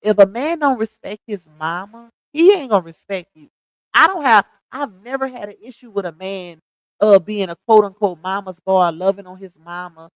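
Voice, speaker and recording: A woman's voice: medium pitch, normal speed, no echo, low-quality recording. A woman in her thirties chatting casually on a livestream, gossiping, laughing often and talking straight to her viewers. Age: 30s.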